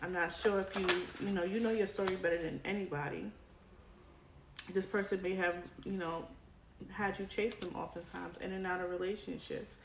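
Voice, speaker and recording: A woman with a fairly low voice talks her viewers through a tarot spread, checking in with "right?" as she goes. She is coming down with a cold, and her voice sounds worn. The room is echoey, with cards shuffling and clicking clearly in the background. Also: fairly even intonation, moderate pace, noisy background. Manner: chatty, addresses viewer directly with frequent "right?". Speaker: tarot reader. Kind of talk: casual tarot reading to camera.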